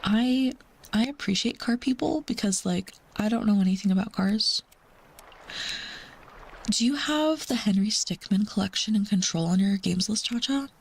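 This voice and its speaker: Girl streamer just chatting w her viewers, rambly n casual, normal pitch, goes soft and whispery sometimes. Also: moderate pace, vocal fry, breathy edge, low-quality recording. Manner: chatty, rambling, calls herself Mama. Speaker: livestreamer. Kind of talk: casual livestream chat with viewers.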